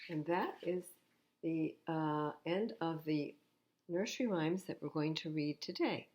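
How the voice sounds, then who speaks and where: An older woman reading children's stories aloud at storytime, expressive and unhurried, with little asides to young listeners. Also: sits low. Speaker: library storytime reader.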